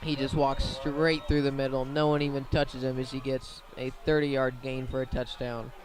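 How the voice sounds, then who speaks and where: A man doing live radio play-by-play of a high school football game in short, plain, measured phrases. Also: flat delivery, rough recording. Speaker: play-by-play sports commentator.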